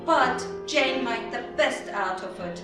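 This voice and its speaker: A woman narrator tells a scripted story at a slow, deliberate pace. Her voice is fairly high and theatrical. It rings through a very echoey hall over music. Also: expressive. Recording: very echoey hall, distant mic, music behind.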